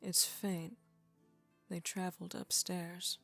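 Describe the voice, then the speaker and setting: A terse, unamused tracker voiced by a woman in an audio drama. The voice is lowish, deadpan and clipped, with light music behind it. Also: slow pace, vocal fry, exaggerated voice.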